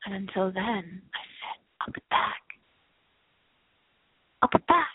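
A woman hosting a live radio show in a rambling, casual, playful way, with theatrical drawn-out asides. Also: mid-range voice, animated, slightly rough. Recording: thin, phone-like internet broadcast audio.

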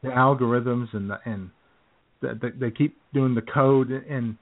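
A man's voice: medium pitch, fairly even intonation, measured pace. A man co-hosting a radio talk show, speaking in a rambling, easygoing way. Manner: rambling and easygoing, with many fillers and restarts. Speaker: co-host. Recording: narrow phone-like sound.

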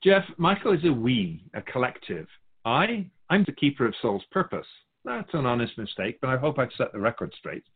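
A man playing a patient, lofty spirit guide who teaches in measured, formal phrases in a scripted audio drama. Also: medium pitch, very animated, breathy edge, phone-quality sound. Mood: patient, instructive. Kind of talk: scripted dialogue in a fiction podcast.

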